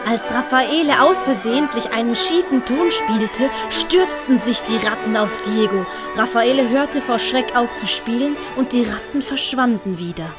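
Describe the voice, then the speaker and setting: A woman's voice: a little high, normal speed, few pauses. A woman with a Swiss German accent reading scripted narration for an audio story. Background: music.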